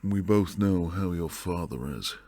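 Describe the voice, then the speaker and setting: A man playing a protective, devoted bodyguard and secret lover in an intimate boyfriend roleplay, low and husky, speaking earnestly close to the mic. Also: unhurried, darkish tone, no room sound. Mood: hesitant, sombre. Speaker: audio roleplay performer. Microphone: close, dry studio mic.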